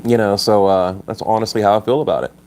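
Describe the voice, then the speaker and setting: Male livestream host, congested and a bit hoarse, blunt and combative, casual rant into a phone. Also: on the low side, fairly even intonation, quick pace, a little mellow. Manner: blunt and combative, with frequent restarts. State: stuffed up and a little hoarse. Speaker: livestream host reading viewer comments.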